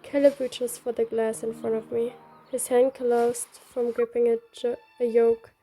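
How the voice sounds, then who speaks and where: A woman's voice: on the high side, flat delivery, moderate pace, warm tone. A woman reading a scripted story aloud as narrator, also taking the heroine's lines. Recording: background music.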